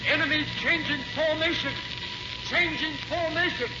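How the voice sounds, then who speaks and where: An actor playing a tense military lookout in a 1940s radio drama, calling out clipped reports. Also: male voice, very high-pitched, moderate pace, over loud music. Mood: tense, alert. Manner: shouting out reports in clipped phrases. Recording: muffled, hissy 1940s radio recording.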